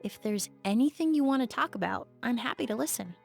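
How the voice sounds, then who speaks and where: Gentle, creaky-edged composed young lady. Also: a little high, lively intonation. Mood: gentle, concerned. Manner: gentle, measured, polite. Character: composed, gentle, empathetic fantasy perfumer and crime-scene cleaner. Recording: game dialogue over background music.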